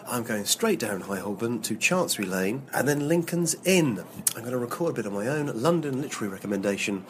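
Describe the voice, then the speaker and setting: A man's voice: medium pitch, noisy background. A man working as a walking tour guide, chatting casually on location while he walks through streets and old courtyards, full of dates and trivia.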